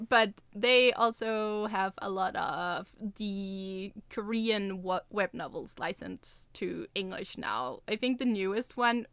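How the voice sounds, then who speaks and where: A woman's voice: mid-range voice, fairly bright tone, no room sound. A woman co-hosting a podcast in casual chat, playful and often correcting herself. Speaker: podcast co-host.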